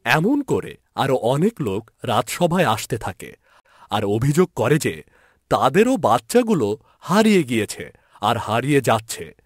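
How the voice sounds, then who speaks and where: A man's voice: mid-range voice, sing-song, measured pace, dry recording, studio quality. A man narrating a scripted fairy tale as a storyteller.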